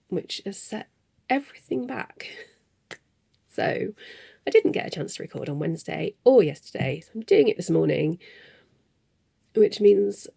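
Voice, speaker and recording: A woman's voice: medium pitch, vocal fry, dark tone, slightly rough, no room sound. A woman hosting a crafting podcast from her desk at home, talking in a rambling, self-deprecating way with chuckles between thoughts while stuffy with a cold. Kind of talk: casual chatty monologue. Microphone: desk microphone.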